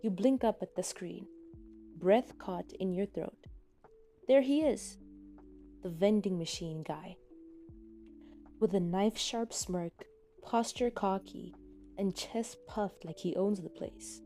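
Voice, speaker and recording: A woman reading scripted fan fiction as a dramatic narrator, with expressive, deliberate phrasing. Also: mid-range voice, faint music behind.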